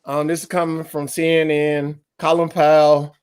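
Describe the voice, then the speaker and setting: A man co-hosting a casual podcast, telling animated stories and trash-talking his friends in slangy banter. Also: a little high, normal speed, smooth. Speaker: podcast co-host.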